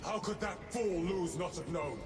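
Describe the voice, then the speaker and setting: A man's voice: high voice, animated, moderate pace, over music. An actor voicing a stern male space marine, grim and terse. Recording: distant reverberant game audio.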